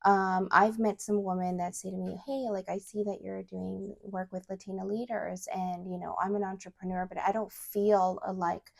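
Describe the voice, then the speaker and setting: A woman guest chatting casually on a podcast, animated, with frequent fillers and laughter. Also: mid-range voice, moderate pace, vocal fry, few pauses. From Chilean heritage. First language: English. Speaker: podcast guest, nonprofit founder. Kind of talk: casual interview chat.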